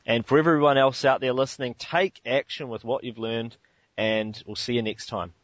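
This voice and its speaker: New Zealand man hosting a call interview; halting, filler-heavy, dry muffled line. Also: medium pitch, steady tone, fairly bright tone, no echo. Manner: hesitant, many ums and restarts. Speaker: host. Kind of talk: teleconference interview.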